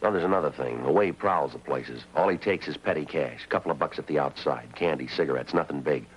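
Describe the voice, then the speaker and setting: Very deep, terse American police detective. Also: male voice, fast talker, breathy edge. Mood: businesslike, weary. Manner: deadpan, matter-of-fact, dry. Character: terse, weary police detective sergeant, male, middle-aged. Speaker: lead detective and narrator. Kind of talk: clipped 1950s police drama acting and narration. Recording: muffled 1950s TV soundtrack, music behind.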